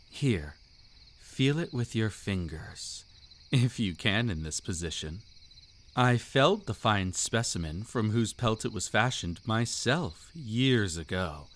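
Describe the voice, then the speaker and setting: Man playing a slow, seductive vampire count. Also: on the low side, slight background noise. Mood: haughty, amused. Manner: velvety, taunting, theatrically aristocratic, drawn-out delivery. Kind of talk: scripted romantic roleplay audio, intimate monologue.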